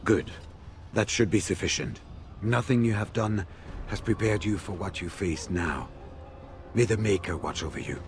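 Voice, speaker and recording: An actor playing a steady, dutiful veteran warrior commander in a video game, measured and formal. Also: male voice, on the low side, slight background noise, natural voice. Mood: grave briefing.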